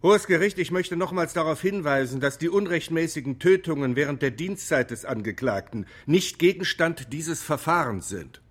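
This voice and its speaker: An actor playing a forceful defence lawyer in a radio drama, formal and insistent. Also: male voice, a little high, animated, normal speed, hardly any pauses, slightly rough. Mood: protesting. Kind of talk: German radio drama acting.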